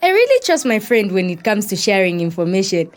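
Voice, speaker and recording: A woman's voice: mid-range voice, very animated, a little fast, close to an everyday voice. A woman with a Zambian accent playing a chatty, breezy young daughter and loyal friend in an educational audio drama. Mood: lively, chatty.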